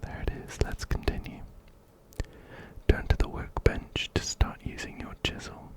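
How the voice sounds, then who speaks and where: A man whispering breathily and gently, very close to a binaural microphone, as he narrates a game and reads its text aloud. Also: measured pace. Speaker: ASMR video host. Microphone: close-up binaural microphone, ear to ear.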